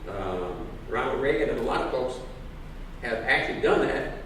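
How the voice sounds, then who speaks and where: A man speaking as a candidate at a public forum, halting, with many fillers and restarts. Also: mid-range voice, lively intonation, fairly bright tone. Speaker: political candidate. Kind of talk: forum speech. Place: public library forum room. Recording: echoey room, distant mic, noise.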